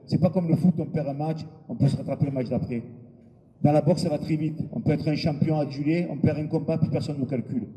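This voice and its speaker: Very dark-voiced male promoter in a hall. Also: a little high. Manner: hesitant, with frequent filler pauses; blunt and informal, with crude words. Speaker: boxing event promoter. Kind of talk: press conference speech. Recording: long hall reverb with background noise.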